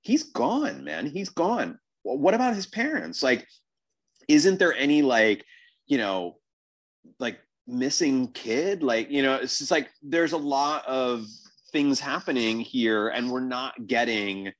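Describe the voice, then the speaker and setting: A man in a casual podcast discussion, thinking aloud in a halting, wordy, questioning way. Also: mid-range voice, very animated. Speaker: podcast host.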